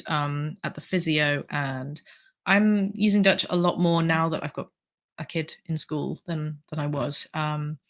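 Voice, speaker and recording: Low-voiced British woman chatting on a livestream. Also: fairly bright tone. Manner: chatty, rambling with fillers. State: sore throat. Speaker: livestream host. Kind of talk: casual livestream chat reading comments.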